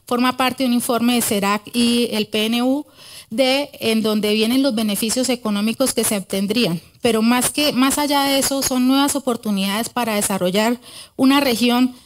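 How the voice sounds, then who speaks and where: Woman giving a conference talk, higher voice, lots of eh and self-corrections, big wood hall, podium mic. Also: normal speed. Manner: earnest, with frequent hesitations and self-corrections. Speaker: conference speaker. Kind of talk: academic lecture at a podium. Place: large wood-panelled auditorium. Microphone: podium microphone.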